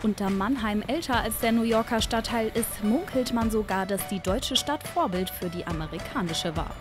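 A woman's voice: medium pitch, moderate pace. Chatty young woman narrating a TV report. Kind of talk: scripted TV voice-over narration. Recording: voice-over with clear background music.

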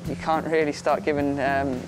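A man's voice: on the high side, level tone, moderate pace, over loud music, rough recording. A young man from England, a jockey, chatting casually in an interview and leaning on "you know".

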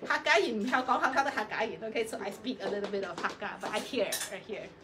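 A woman's voice: medium pitch, fast, background noise. A woman hosting a live fashion sales show in a chatty, effusive, coaxing way.

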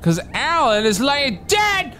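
A man playing a slow sneering outlaw. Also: very high voice, big pitch swings, sharp tone, a little hiss or hum, exaggerated voice. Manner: folksy rural slang, sneering threats. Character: menacing outlaw brother, cruel, mocking. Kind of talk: indie audio drama acting.

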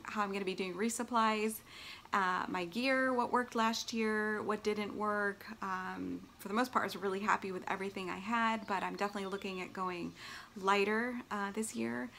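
A woman's voice: mid-range voice, sharp tone, slight background noise. A middle-aged woman giving a casual, chatty, upbeat vlog announcement straight to camera at home. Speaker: vlogger and long-distance hiker. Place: small furnished living room at home, indoors.

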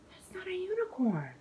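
A woman in her forties reading a children's picture book aloud, expressive and exclaiming, drawing out words for young listeners. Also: very high voice. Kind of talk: animated children's read-aloud storytelling. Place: living room at home, indoors.

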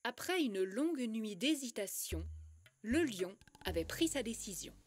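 A woman narrating an animated short as a poetic storybook tale, in a solemn, lyrical and measured way. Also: fairly high pitch. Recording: music under the voice. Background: music.

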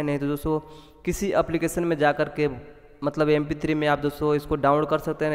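A man from India hosting a casual voice-over for his channel, repeatedly addressing viewers as friends, with long reverb on his voice. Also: fairly high pitch. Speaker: tutorial channel host. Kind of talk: casual screen-recording voice-over.

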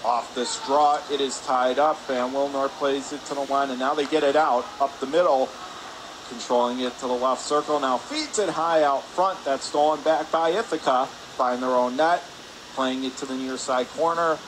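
A man doing live radio play-by-play hockey commentary in rapid, descriptive calls. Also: fairly high pitch. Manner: rapid descriptive calls, excited on scoring chances. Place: press area above rink in an indoor arena. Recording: noisy ice arena, steady background din.

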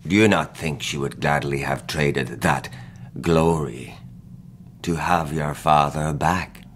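An actor playing a haunted, war-weary crusader uncle in a historical radio drama, grave, halting and dramatic. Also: male voice, a bass voice, a bit bright, slight background noise, exaggerated voice. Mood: pressing, sorrowful.

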